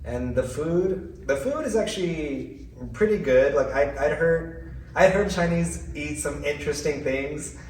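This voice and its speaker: A man from California telling his story casually, relaxed and full of fillers. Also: a little high, unhurried. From California, USA. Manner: relaxed, chatty, many "like" and "you know" fillers. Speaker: guest. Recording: roomy, distant mic, faint noise.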